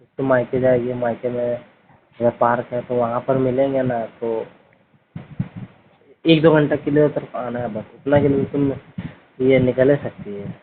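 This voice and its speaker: A man's voice: mid-range voice, steady tone, unhurried, long pauses. Insistent man on a noisy phone line. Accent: eastern Indian Hindi, Bihar-Jharkhand region. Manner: insistent, pressing with repeated questions. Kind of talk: casual private phone conversation. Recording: noisy, narrowband phone call recording.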